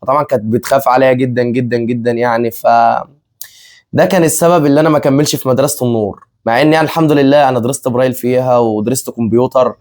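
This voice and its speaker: Young Egyptian man, casual family-vlog host, rapid-fire chatter, mid-pitched. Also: steady tone, darkish tone. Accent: Egyptian Arabic. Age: 20s. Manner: chatty, repeats himself, laughs often. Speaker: vlogger, channel co-host. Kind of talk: casual chat with viewers.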